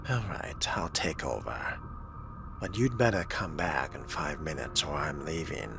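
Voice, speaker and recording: A man's voice: rumbling low, a little slow, vocal fry, noisy background. A man playing a video game in character, speaking his part with wry, formal and theatrical phrasing. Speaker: role-playing game player. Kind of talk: in-character game role-play commentary. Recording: loud game music behind the voice. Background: loud game music.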